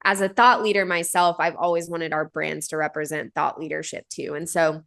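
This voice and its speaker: A woman's voice: sits low, little pitch movement, normal speed, vocal fry, fairly bright tone. A woman in her thirties, a company founder answering interview questions in an earnest, conversational way, with many fillers and restarts. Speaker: podcast guest, company founder.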